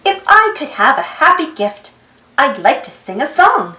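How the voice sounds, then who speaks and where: A woman reading a children's storybook aloud in an expressive, singsong rhymed delivery. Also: sits high, unhurried. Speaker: storybook reader, channel host. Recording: roomy echo with music behind. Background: music.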